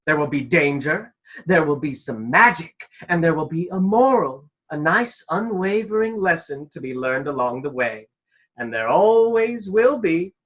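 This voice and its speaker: An actor playing a fussy, pompous storybook narrator, with theatrical, precise delivery in a comic radio play. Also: male voice, a little high, expressive, measured pace, a bit bright. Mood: grand, soothing.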